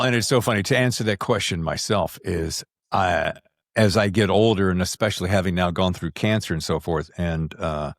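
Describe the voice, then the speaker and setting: A man's voice: low-pitched, no echo, studio quality. A man hosting a conversational podcast interview, talking in a chatty, effusive, informal way. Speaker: podcast host.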